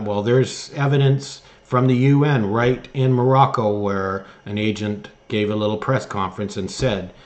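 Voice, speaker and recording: Man giving halting, emphatic news commentary. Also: fairly low pitch. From Canada. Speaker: host of an online news commentary show. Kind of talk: opinion commentary, partly reading articles aloud.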